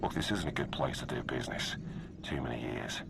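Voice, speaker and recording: An actor playing a wary, gruff, streetwise gang boss in a video game, blunt and slangy. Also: male voice, rumbling low, brisk, heavy background noise, full character voice. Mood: guarded. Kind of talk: video game cutscene dialogue. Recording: background music.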